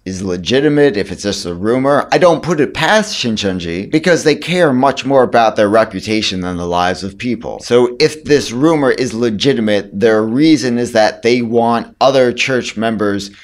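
A man in his thirties with a hoarse voice, talking casually to camera as a vlogger and dropping dry, sarcastic asides. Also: medium pitch, expressive, moderate pace, hardly any pauses. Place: indoors in front of a brick wall.

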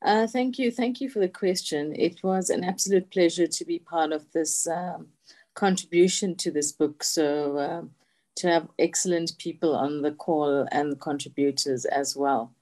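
A woman speaking on a video call with a husky voice because she is unwell, answering politely and thoughtfully with many fillers. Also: low voice, moderate pace, warm tone. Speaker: HR contributor from the mining industry. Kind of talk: panel answer.

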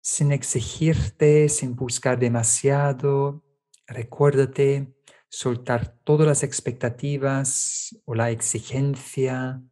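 A man leading a guided meditation, giving calm step-by-step instructions with small checking tags in a gentle manner. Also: mid-range voice, takes it slowly. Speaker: meditation teacher.

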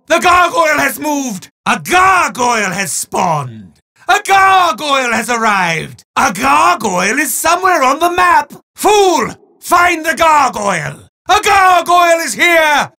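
A petty, hammy villainous sorcerer, bellowing announcements with grand theatrical swoops. The voice sits high, with a bright, sharp edge, and drawls each word slowly for dramatic weight. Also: exaggerated voice. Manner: melodramatic, bombastic shouting with sweeping swoops. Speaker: game announcer character. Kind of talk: voiced game lines.

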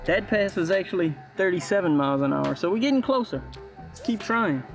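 A man's voice: high voice, animated, brisk, music in the background. A man from the United States, giving casual vlog commentary as a hobbyist. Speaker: hobby RC car vlogger.

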